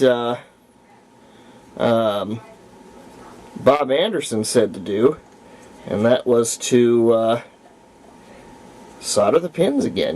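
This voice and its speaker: A man narrating casual hands-on repair work, thinking aloud in short, halting phrases. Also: medium pitch, darkish tone. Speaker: hobbyist demonstrating antique radio repair. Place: room at home, indoors.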